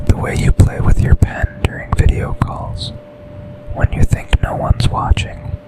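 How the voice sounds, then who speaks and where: Man acting as slow, whispering seductive boss. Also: close to an everyday voice, low-quality recording. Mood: intense, seductive. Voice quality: breathy whisper.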